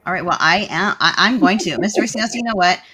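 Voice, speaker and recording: A woman who grew up speaking Tagalog first hosts a panel discussion, chatty and quick to jump in with her own asides. Her voice sits a little low. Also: lively intonation, bright, forceful tone, a little hiss or hum. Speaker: podcast host.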